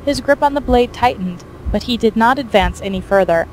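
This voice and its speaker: A woman's voice: a little high, expressive, fairly quick, background noise. A woman reading scripted fiction as the narrator of an audio story.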